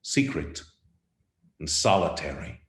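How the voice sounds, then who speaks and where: A man's voice: extremely low pitch, big pitch swings, slow pace, echoey room. A man narrating a scripted radio play as a theatrical, emphatic storyteller.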